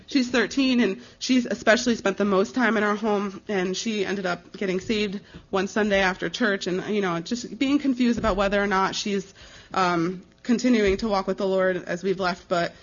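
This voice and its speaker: A young American woman speaking as a missionary, giving an informal slide presentation in a conversational, earnest and halting way. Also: medium pitch. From United States. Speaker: missionary giving a ministry report. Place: live talk before an audience. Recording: dull, slightly lo-fi recording.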